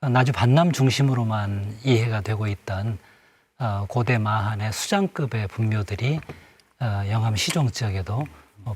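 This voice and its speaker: A middle-aged man speaking as a guest on a studio panel, pausing often and filling gaps with short fillers. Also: fairly low pitch, darkish tone, slight background noise. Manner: hesitant, many pauses and fillers. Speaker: panel guest, former museum director.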